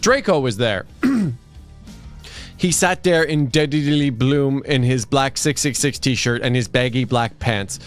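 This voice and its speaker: A man's voice: medium pitch, animated, takes it slowly. A man co-hosting a livestream, reading a story aloud for comedy and breaking off into joking banter. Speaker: livestream co-host reading fan fiction aloud. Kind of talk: comic dramatic reading mixed with casual banter. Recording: music behind the voice.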